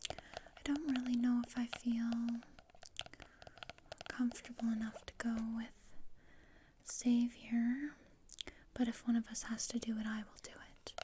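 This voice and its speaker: A woman voicing a gloomy, sardonic teenage outcast girl in a whispered ASMR roleplay, speaking in a deadpan, haughty, breathy whisper. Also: fairly high pitch, unhurried, long pauses, slight background noise, close to an everyday voice. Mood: scheming.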